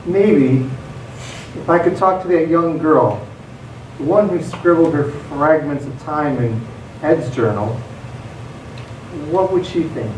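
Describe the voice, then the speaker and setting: A man's voice: fairly high pitch, slow pace, pauses often, darkish tone. A man performing a reflective storyteller in a scripted radio theatre production. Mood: reflective, wondering. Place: theater stage, recorded from a distance. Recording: echoey theater, distant mic, clear noise.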